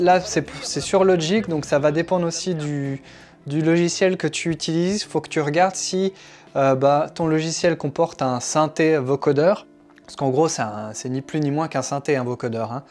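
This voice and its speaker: A man's voice: on the high side, normal speed, a little mellow. A man in his thirties giving a casual, chatty, slangy tutorial as a music production tutor. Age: 30s. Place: small home studio indoors.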